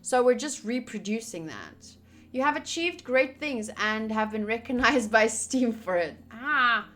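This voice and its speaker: A woman's voice: a little high, pushed and bright. A woman streamer reading visual novel narration aloud and adding casual commentary.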